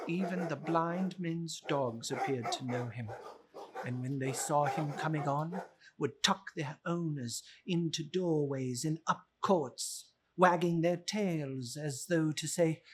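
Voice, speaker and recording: A man reads a classic story aloud with theatrical flair. His voice sits a little high and is husky, and he takes his time over every phrase, leaning hard on the key words. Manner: theatrical, slow and emphatic with lively swings in pitch. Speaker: narrator reading a classic novel aloud. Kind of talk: dramatic storybook reading.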